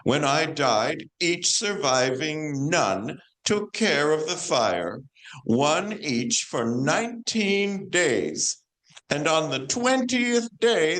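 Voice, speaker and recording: Woman acting a slow, stately female saint. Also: low voice, full character voice, poor audio. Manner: measured, declamatory storytelling. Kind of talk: amateur stage play performance.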